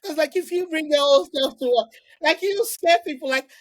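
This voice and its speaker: A middle-aged woman from Nigeria, a podcast guest telling her story in an animated, casual way with frequent restarts and "like" fillers. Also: high voice, fairly quick. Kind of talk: casual interview conversation.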